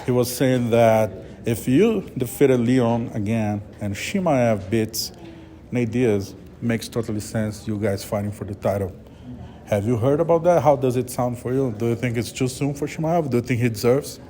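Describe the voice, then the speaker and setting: A man from Brazil with a Brazilian Portuguese accent, interviewing a fighter in English, halting as he searches for words. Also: mid-range voice, mellow. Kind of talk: press interview.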